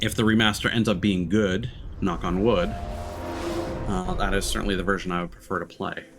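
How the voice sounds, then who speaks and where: A man with a fairly low voice chats casually through a game stream. He muses and rambles as he plays, with game music clearly behind him. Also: steady tone, brisk. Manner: rambling, musing. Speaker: streamer playing a video game. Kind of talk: casual gameplay commentary.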